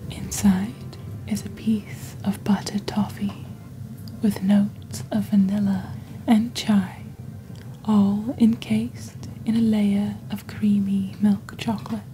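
A woman acts out a calm witch hosting a magical shopping channel in soft ASMR. Her voice is breathy and nearly whispered, very dark and mellow, with slow, deliberate phrasing. It is recorded close on the mic over faint background noise. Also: medium pitch, natural voice, low-quality recording. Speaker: host of an ASMR roleplay channel. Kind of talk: soft-spoken ASMR scripted product presentation. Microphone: close desk microphone.

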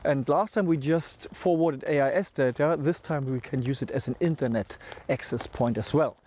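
A middle-aged man giving a casual step-by-step tech tutorial as host, with halting, hesitant phrasing. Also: fairly high pitch, a little mellow.

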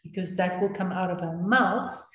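A woman's voice: lowish voice, normal speed, fairly bright tone. A woman joining a scripture discussion, affirming and adding to the main speaker's points. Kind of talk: casual discussion. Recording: roomy echo with faint noise.

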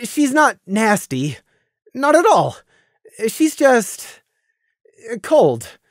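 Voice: mid-range voice, big pitch swings, extremely slow, no room sound, clean studio sound, voice pushed up. A storyteller voicing a shy young pony stallion who stammers and protests when teased. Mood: flustered.

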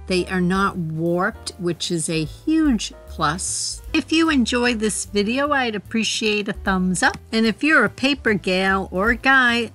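A woman's voice: mid-range voice, a bit bright. A woman in her forties narrating a casual shopping vlog, chatty and enthusiastic as she talks to her viewers. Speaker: shopping vlogger.

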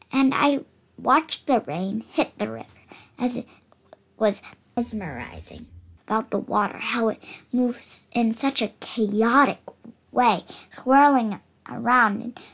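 Young girl, about eight, for picture-book read-aloud; high, slightly creaky voice, careful expressive delivery. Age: child, about 8. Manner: careful, expressive reading with small stumbles. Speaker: child reader of picture books.

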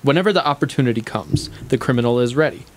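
An actor playing a sceptical, composed confidant with formal, measured questioning in a stage play. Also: male voice, mid-range voice, animated, natural voice. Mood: sceptical, reasoning. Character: sceptical, probing, morally composed male confidant.

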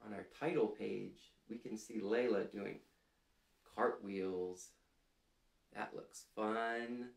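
A man in his thirties hosting a children's storytime, reading aloud and prompting listeners in a gentle, deliberate, clearly phrased way, seated in a small room. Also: fairly low pitch, steady tone. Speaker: storytime host reading picture books to children. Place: small furnished room indoors, seated on a couch. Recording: roomy indoor sound.

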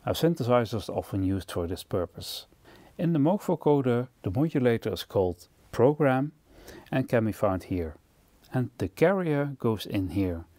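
A man presenting an instructional product overview in a measured, explanatory way. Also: medium pitch, sing-song, dark tone, dry recording. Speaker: presenter of music gear demo videos.

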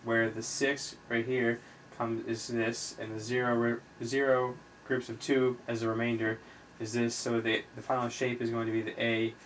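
A man tutors informally in a flat, medium-pitched voice. He moves haltingly from step to step, as if thinking aloud while he writes. The amateur recording has faint background noise. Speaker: chemistry tutor, video host. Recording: noisy amateur recording with room ambience.

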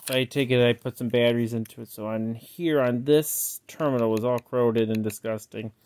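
A man giving a casual, unscripted product walkthrough in a halting, rambling way, often trailing off, recorded indoors on a handheld phone. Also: mid-range voice, a little mellow, clean tone. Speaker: collector showing a yard-sale cassette player. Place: indoors, close over a tabletop. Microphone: handheld phone he is filming with.

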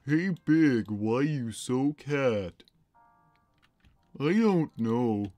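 A man voicing a huge, gentle, simple-minded cat who talks plainly and innocently on a gaming livestream. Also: medium pitch, animated, dry recording, soft background music. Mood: placid. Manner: plain, slow, naive, reads chat messages aloud. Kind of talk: in-character gaming livestream chat.

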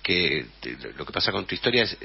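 A man's voice: low-pitched, big pitch swings, fast, creaky, breathy edge, lo-fi sound. A man in his fifties with an Argentine accent hosting a radio interview, chatty and joking as he talks with his guest. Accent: Rioplatense Spanish. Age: 50s. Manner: chatty, jokes and interjects often. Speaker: host. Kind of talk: casual interview conversation.

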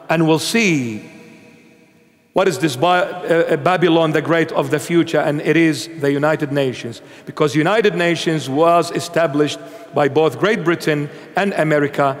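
A middle-aged man from Iraq preaches from a church altar in a high, emphatic voice. He reads scripture and explains it with animated force. The sound hangs in the long reverb of a large sanctuary. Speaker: bishop preacher. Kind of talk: sermon with Bible reading.

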